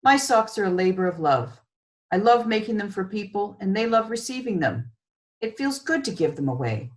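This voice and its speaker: A woman's voice: mid-range voice, expressive, moderate pace, lightly acted. Wry, kindly knitting grandmother read by a woman. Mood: fond. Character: busy, kindly grandmother who knits socks.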